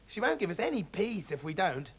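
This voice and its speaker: A man's voice: high-pitched, big pitch swings, brisk, fairly bright tone, husky, heavily acted. A man voicing a sensible, cautious young boy who asks earnest questions.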